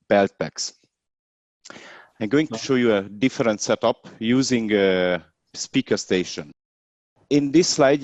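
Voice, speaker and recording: A man who is a non-native English speaker demonstrates a product hands-on in a webinar, explaining step by step in a halting way. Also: mid-range voice, darkish tone, no echo. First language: not English. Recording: dull, dry webinar video sound.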